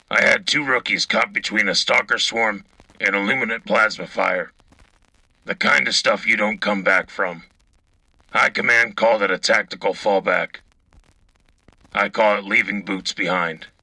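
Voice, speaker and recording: A man playing a gruff, cynical battle-hardened soldier co-hosting a sci-fi war radio drama, gravelly and raspy, terse and sardonic. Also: fairly low pitch, pushed and bright, no echo, heavily acted, low-quality recording. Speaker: radio co-host reporting from the front.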